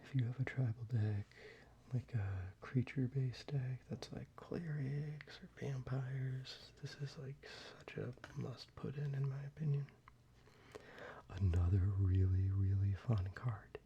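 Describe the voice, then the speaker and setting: A man whispering softly and unhurriedly, with fillers, as he shows cards to the viewer for ASMR. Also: medium pitch, a little slow, dull audio, slight background noise. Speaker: ASMR creator. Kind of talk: soft-spoken ASMR card deck showcase.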